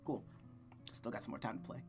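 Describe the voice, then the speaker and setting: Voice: fairly quick, a little mellow, faint music behind. A streamer reading a visual novel aloud with casual, enthusiastic commentary, stumbling now and then over the text. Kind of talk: casual gameplay commentary and read-aloud narration.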